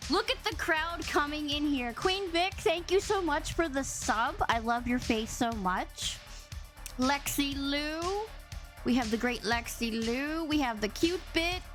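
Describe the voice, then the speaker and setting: A bubbly woman hosts a casual streamed podcast. Her voice is big and boomy, and her pitch swings all over the place. Faint music plays underneath. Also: a bit bright. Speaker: podcast host. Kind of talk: casual live-stream chat. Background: faint background music.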